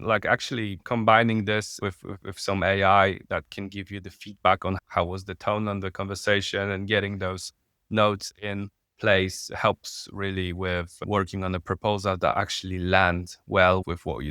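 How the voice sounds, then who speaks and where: A man with a Polish accent, a non-native English speaker, talking as a podcast guest, halting and searching for words. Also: on the low side, sharp tone, clean tone, no room sound, studio quality. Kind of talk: podcast interview.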